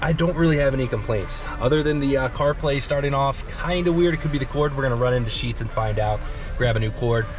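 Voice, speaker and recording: A man's voice: medium pitch, fast talker, over music. A man in his thirties vlogging while driving, chatting in a rambling way and getting tired and loopy. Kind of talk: casual driving vlog. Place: inside a moving car. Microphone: dash camera in the car. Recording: loud road noise in a car. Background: loud road and engine noise.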